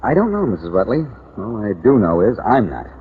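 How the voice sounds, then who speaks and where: An actor playing a confident, wisecracking amateur sleuth in a glib, theatrical 1940s radio detective style. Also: male voice, lowish voice, huge pitch swings, a little fast. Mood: glib, bantering. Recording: dull, noisy vintage 1940s radio recording.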